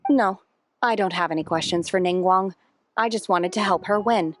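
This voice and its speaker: A woman's voice: mid-range voice, moderate pace, natural voice. Woman acting reserved young wilderness woman, detached, formal, restrained delivery, video game character.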